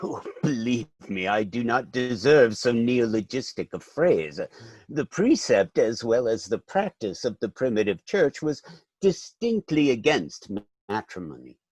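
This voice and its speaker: A man's voice: medium pitch, very animated, unhurried, a little mellow, dry recording. Guy playing a kindly middle-aged vicar in a stage comedy, stuffy and pedantic, lots of lilt, dry sound. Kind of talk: dramatic reading of a stage comedy.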